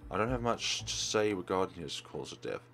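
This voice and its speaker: Low-voiced man reading game narration. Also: lively intonation, brisk, a bit bright, soft background music. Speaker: let's player. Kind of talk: reading visual novel narration aloud.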